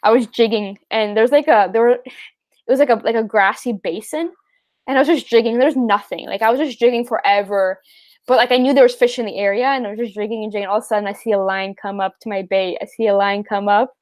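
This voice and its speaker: A woman's voice: a little high, fairly even intonation, fast, a little mellow. A young Canadian woman hosting a fishing livestream, chatting casually and answering viewer questions, with lots of fillers and easy laughs. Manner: chatty, rambling, laughs easily, many fillers. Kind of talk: casual live chat reading viewer questions.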